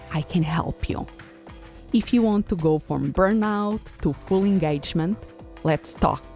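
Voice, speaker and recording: A woman's voice: low-pitched, darkish tone, dry recording, studio quality. A woman in her fifties from Portugal with a Portuguese accent, hosting a reflective solo podcast with a thoughtful manner. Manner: thoughtful, unhurried, with frequent uh fillers. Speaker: podcast host.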